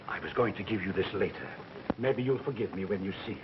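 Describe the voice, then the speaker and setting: An actor playing a pompous, two-faced bigwig, both bullying and oily, in a 1930s film. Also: male voice, fairly low pitch, fairly quick, close to an everyday voice. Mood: oily, patronizing. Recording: muffled, noisy 1930s film soundtrack.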